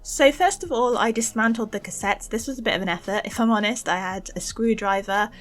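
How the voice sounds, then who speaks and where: A young woman giving a casual, chatty craft voice-over for an art channel, informal and gently self-deprecating. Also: fairly high pitch, fairly quick. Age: young adult. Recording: music behind the voice. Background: faint background music.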